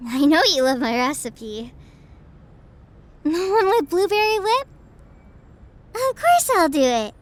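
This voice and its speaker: A very high, girlish voice that takes its time with every phrase. A woman is acting out an anxious young woman in an audio roleplay, a devoted best friend who doubts herself. Her delivery is soft, halting and emotionally exposed. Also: very animated, heavily acted. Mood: tender, caring. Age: young adult.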